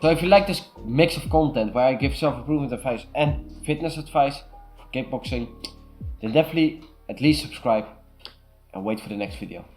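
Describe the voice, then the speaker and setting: A man's voice: medium pitch, lively intonation, fairly quick, a little mellow, soft background music. A young man from the Netherlands with a Dutch accent, earnestly giving casual self-improvement advice to camera. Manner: earnest, repeats "super" and "way better".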